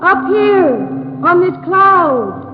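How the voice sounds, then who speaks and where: A woman's voice: very high-pitched, sing-song, extremely slow, narrow, tinny audio, exaggerated voice. A woman playing a dreamy young woman who calls from a cloud, in 1950s radio drama style. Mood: coaxing. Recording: scratchy old radio recording with music and reverb.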